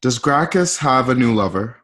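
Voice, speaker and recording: A man acting a menacing, possessive young Roman emperor in an amateur radio drama, with a deliberate, theatrical delivery. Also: medium pitch, lively intonation, a bit bright. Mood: cold menace.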